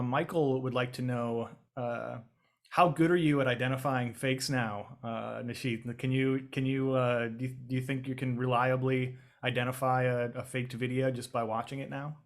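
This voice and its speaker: A man from Michigan with a Michigan accent, hosting a casual video call and reading audience questions, chatty and quick to laugh. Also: mid-range voice, fairly even intonation, creaky. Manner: chatty, laughing often, repeats words.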